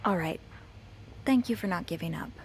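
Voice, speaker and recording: An actress voicing a curious, earnest, grateful young hotel maid in a naturalistic video game performance. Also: female voice, lowish voice, normal speed, darkish tone, a little hiss or hum, natural voice. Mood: downcast, grateful.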